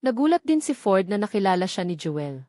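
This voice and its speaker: A woman's voice: mid-range voice, big pitch swings, fairly quick, mellow, no echo. Woman narrating a novel, dry close sound. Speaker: audiobook narrator.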